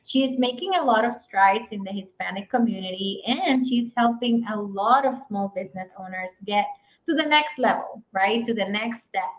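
A woman's voice: mid-range voice, moderate pace, low-quality recording. A woman hosting a podcast, greeting and introducing her guest with enthusiasm. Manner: enthusiastic, lively welcome. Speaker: podcast host. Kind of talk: podcast interview.

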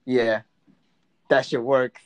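A man's voice: mid-range voice, little pitch movement, slow pace. A man chatting casually with an old friend as podcast co-host, laid-back, laughing often and swearing freely. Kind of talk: casual chat between friends. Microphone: remote call mic.

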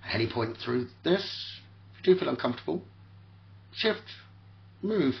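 A man leading a guided sleep meditation as host, speaking soothingly in short, repeated phrases with long pauses, on a muffled lo-fi recording. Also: mid-range voice, expressive, creaky. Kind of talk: guided sleep meditation, spoken relaxation talkdown.

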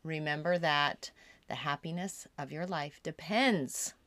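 A woman's voice: deep voice, unhurried, a bit bright, no room sound. A mother co-hosting a podcast, chatting in an agreeable, affirming way. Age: middle-aged mother. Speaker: podcast co-host. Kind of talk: casual podcast chat.

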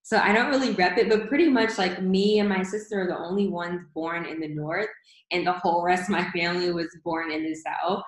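A woman's voice: fairly low pitch, a little fast, roomy sound, rough recording. A woman host who asks questions eagerly and fills her speech with "like". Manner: enthusiastic, many "like" fillers. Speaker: interviewer, podcast host. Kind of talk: casual interview talk.

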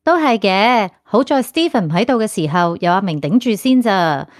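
A woman's voice: mid-range voice, expressive, moderate pace, dry recording, studio quality, natural voice. A woman playing a chatty, deferential female office assistant in a radio drama, with a Hong Kong Cantonese accent.

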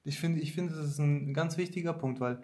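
Fairly high-voiced man, hesitant host. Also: fairly quick, mellow. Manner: hesitant, lots of ähm fillers, affirming. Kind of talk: casual conversation.

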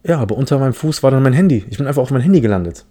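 A man's voice: mid-range voice, lively intonation, very fast, mellow. A man from the Philippines hosting a casual solo podcast, telling a story in a rambling, self-mocking way with many restarts. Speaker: podcast host.

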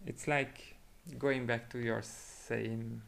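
A man from Hungary with a Hungarian accent, chatting reflectively as a podcast guest and thinking aloud in a halting way. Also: mid-range voice, little pitch movement, gravelly, a bit bright. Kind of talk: casual reflective chat.

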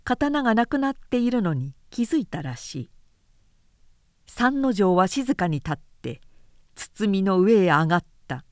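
A woman narrator giving a measured, clearly articulated reading of literary fiction in audiobook style. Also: mid-range voice, sing-song, darkish tone, no echo, studio quality.

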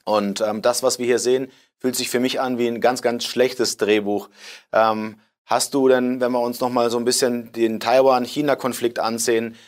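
A man interviewing his guest, earnest in tone, who asks long winding questions and fills his pauses. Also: mid-range voice, flat delivery. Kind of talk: conversational interview.

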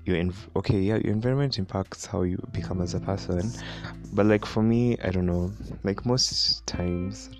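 A young Kenyan man with a deep voice and a Kenyan accent, chatting casually on his own podcast, rambling with many fillers and frequent laughs. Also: normal speed, over music. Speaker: podcast host. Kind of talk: casual solo podcast chat.

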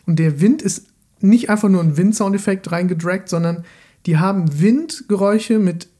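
A man's voice: high voice, moderate pace, warm tone. A man talking casually about films as a podcast panelist. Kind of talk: casual film chat.

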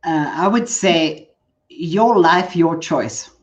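An older woman with a South African accent, speaking as a guest coach in a relaxed interview and laughing often. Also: deep voice, slow pace, muffled recording. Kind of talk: casual interview chat.

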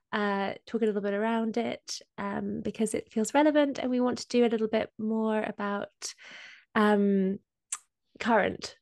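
A woman with a slight cold, explaining finance topics in a casual podcast chat with many pauses and ums. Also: mid-range voice, gravelly, a little mellow, dry recording, studio quality. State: has a cold. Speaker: co-host explaining crypto.

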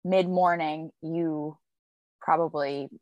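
Woman co-host, relaxed and rambling. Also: sits low, takes it slowly, dry recording, highs cut off. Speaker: podcast co-host. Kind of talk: casual chat.

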